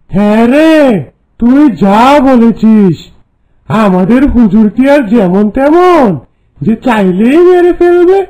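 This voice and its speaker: A man's voice: very high-pitched, expressive, drawn-out words, dark tone, full character voice, poor audio. A man voicing a servile, fawning demon henchman in an exaggerated cartoon style. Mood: mocking.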